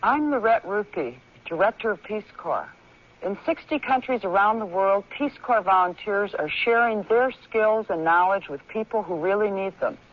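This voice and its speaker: A woman reads a scripted public service announcement as a director making an appeal. Her voice is mid to high, on a muffled old radio broadcast. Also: expressive, moderate pace.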